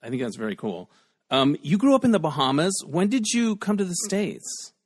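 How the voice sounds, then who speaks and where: A man's voice: a little high, very animated, moderate pace. A man hosting a podcast, interviewing a guest in a friendly, encouraging way. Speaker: podcast host. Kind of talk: casual interview.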